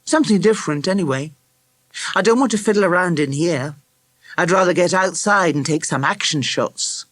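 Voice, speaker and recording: A storybook reader voicing a thoughtful, earnest schoolboy with a slightly halting way of talking. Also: mid-range voice, expressive, fairly bright tone, no room sound, pitched above the performer's own voice. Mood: determined. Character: earnest, thoughtful young schoolboy, troubled but keen.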